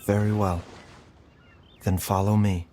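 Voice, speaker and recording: An actor playing a composed adult physician in a fantasy game, speaking in a measured, gently authoritative manner. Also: male voice, on the low side, level tone, a little hiss or hum.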